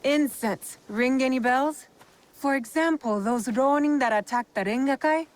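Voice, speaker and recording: Cool, resolute shinobi. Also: female voice, sits high, a little slow, no echo. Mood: accusing. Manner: cool, pointed, formal. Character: determined young female shinobi, cool and resolute. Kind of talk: video game cutscene acting.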